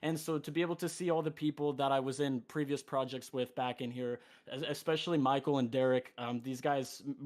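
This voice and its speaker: A man voice actor talking on a video call, earnest and joking, rambling a bit. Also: mid-range voice. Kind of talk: casual guest talk.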